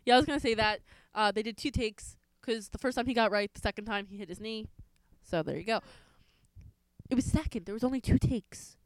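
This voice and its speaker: A woman's voice: fairly high pitch, brisk, no echo. A young woman co-hosting a podcast, chatting casually and rambling with fillers and restarts. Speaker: podcast co-host. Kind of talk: casual chat.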